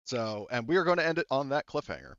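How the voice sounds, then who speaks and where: Brief: man as game master, rambling casual delivery, mid-pitched, close and dry. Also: very animated, fast, a bit bright, clean tone, dry recording, muffled recording. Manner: rambling, filler-heavy, laid-back. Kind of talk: casual tabletop game session talk.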